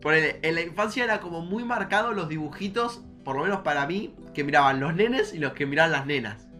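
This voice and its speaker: Young Argentine man, bright and fairly high voice, slangy casual chat, music in the background. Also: fairly quick. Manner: informal, slangy. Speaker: podcast co-host.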